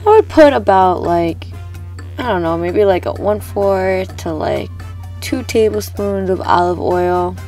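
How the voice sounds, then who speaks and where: A woman's voice: medium pitch, lively intonation, measured pace, a little mellow. A young woman who sounds stuffed up from a cold chats her way through a recipe in her home kitchen. She talks loosely, full of slang and filler words. Faint music plays under her voice. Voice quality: chatty, laid-back, sprinkles in slang and fillers. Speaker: cooking vlogger. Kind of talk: casual cooking tutorial.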